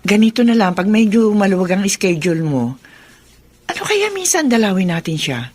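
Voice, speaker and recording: A woman's voice: medium pitch, very animated, moderate pace, darkish tone. A woman playing a kind, practical middle-aged mother in a Filipino radio drama. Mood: caring.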